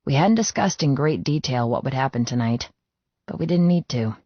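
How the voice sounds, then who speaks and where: A man's voice: medium pitch, lively intonation, moderate pace, no room sound. Male audiobook narrator, dry dull sound. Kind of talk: first-person dramatic scripted narration.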